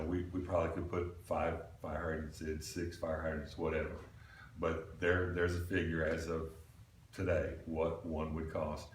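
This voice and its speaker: An older man explains a proposal informally at a public meeting, plain-spoken and folksy. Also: sits low. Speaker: committee member presenting a proposal. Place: small indoor meeting room. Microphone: camera microphone across the room. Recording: roomy, distant mic with background noise.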